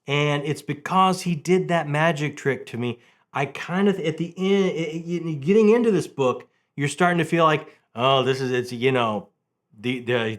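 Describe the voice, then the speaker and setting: A man hosting a casual, conversational book video, telling things in an animated way and repeating phrases for emphasis. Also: mid-range voice. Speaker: book review video host.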